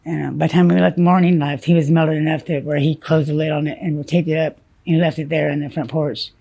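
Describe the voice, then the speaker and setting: A woman from South Dakota, whose first language was Spanish, telling her story haltingly in an interview, full of fillers and restarts. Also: sits low, little pitch movement, gravelly, warm tone. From South Dakota, USA. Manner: halting, many fillers and restarts, blunt about grim details.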